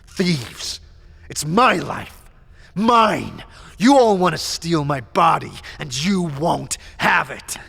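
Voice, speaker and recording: A man's voice: fairly high pitch, animated, takes it slowly, creaky, sharp tone, husky, pitched above the performer's own voice, heavily acted. Wildly swinging, paranoid raving madman. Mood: manic rage. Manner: erratic, swinging between sly muttering and frantic outbursts. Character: paranoid, raving mad earlier incarnation of an immortal man.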